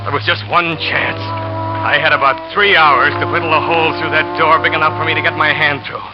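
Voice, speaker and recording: A man's voice: fairly high pitch, little pitch movement, fairly quick, natural voice. An actor playing a desperate man who narrates his own failed murder plot in a 1940s radio drama, with tense, driven narration. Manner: tense first-person narration, rising to panic. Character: desperate man, scheming lover turned trapped victim. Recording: muffled old radio broadcast with music.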